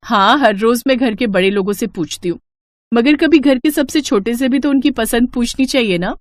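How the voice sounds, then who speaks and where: A woman voicing a gentle, innocent young village bride in a storybook tale, polite and earnest. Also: fairly high pitch, moderate pace, no echo, natural voice. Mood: sweet, cheerful. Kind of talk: animated storybook acting.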